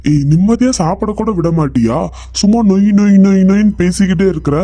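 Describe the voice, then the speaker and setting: A man's voice: high-pitched, fairly quick, mellow, dry recording. A man doing a grumpy cartoon husband. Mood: irritated. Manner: scolding, irritable, repetitive. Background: background music.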